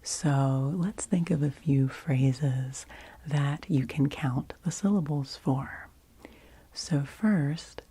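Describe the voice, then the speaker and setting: A woman whispering softly in ASMR style, gently and patiently giving instructions and repeating phrases as a host. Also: sits low. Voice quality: breathy whisper. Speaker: host of a relaxation ASMR show. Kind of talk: whispered ASMR, leading word games.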